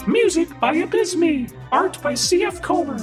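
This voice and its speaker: A woman's voice: very high voice, lively intonation, unhurried, faint music behind. A woman announcer reading scripted closing credits for a podcast. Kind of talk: scripted outro narration.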